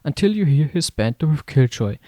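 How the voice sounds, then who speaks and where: A man's voice: fairly high pitch, big pitch swings, a little fast, dark tone, dry recording. A man reading scripted narration as a video essayist reviewing games.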